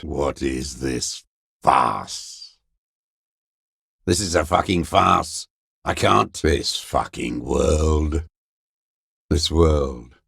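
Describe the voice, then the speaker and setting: An actor playing a bitter, weary old communist deserter in a video game, gravelly and raspy, cursing with contempt in a slow mutter. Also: male voice, a bass voice, a bit bright, dry recording, studio quality, full character voice. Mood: dazed, resigned. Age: older. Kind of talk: dramatic video game character acting.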